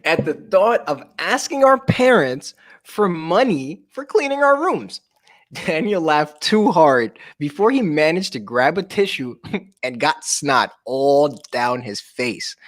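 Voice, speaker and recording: A man's voice: sits high, very animated, takes it slowly, dry recording, studio quality. A man reading a children's book aloud as a storyteller, with jokey, informal asides. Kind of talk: animated read-aloud with casual chat.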